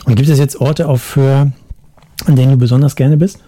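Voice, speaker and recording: A man interviewing a musician, asking calm questions and giving short murmurs of agreement. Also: mid-range voice, brisk.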